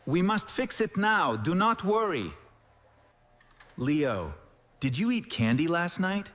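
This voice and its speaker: A man voicing a calm, kindly cartoon dentist. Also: high-pitched, slow, long reverb tail, full character voice. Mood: reassuring. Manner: calm, broken simple English. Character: kind, patient cartoon dentist, adult man.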